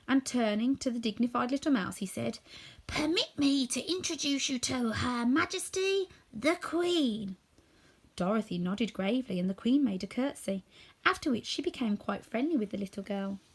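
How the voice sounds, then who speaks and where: A woman narrating a children's storybook as a reader. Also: on the high side.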